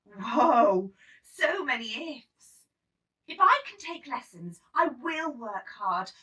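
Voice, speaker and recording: An earnest young girl from a storybook, voiced by a woman reader, full of hope and wonder. The voice is high and bright with big swoops of emphasis, heard from slightly off the mic. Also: big pitch swings, takes it slowly, heavily acted. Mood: awed. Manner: earnest, wide-eyed, emphatic. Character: eager, hopeful orphan girl who dreams of ballet. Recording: slightly distant microphone.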